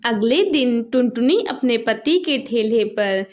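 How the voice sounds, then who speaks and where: Children's cartoon narrator: woman, high voice, scripted storytelling delivery. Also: expressive, slow.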